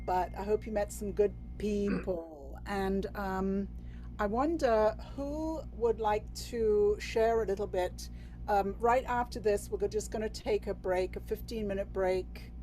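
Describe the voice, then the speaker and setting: A woman's voice: mid-range voice, mellow, slight background noise. A woman from England hosting a video-call workshop, bubbly and chatty, with many fillers and restarts. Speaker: workshop host.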